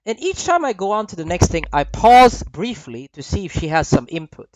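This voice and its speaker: A man's voice: sits high, big pitch swings, moderate pace, no room sound, low-quality recording. A man in his thirties from Sweden, a dating coach giving laid-back voice-over commentary and often checking in with "right?". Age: 30s. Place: voice-over recorded apart from the footage.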